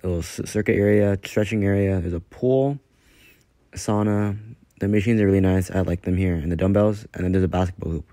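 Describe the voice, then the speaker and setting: A man's voice: deep voice, a little fast, dark tone, a little hiss or hum. Teen male vlogger, casual, rambling, phone-recorded. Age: teens. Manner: laid-back, rambling with many fillers. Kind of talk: casual vlog narration.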